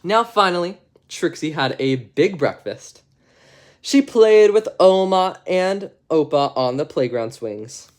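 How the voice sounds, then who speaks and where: Man reading a bedtime story, very animated. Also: a little high. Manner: expressive, chatty, ad-libbing around the text. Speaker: storybook reader. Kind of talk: animated bedtime story narration with casual asides.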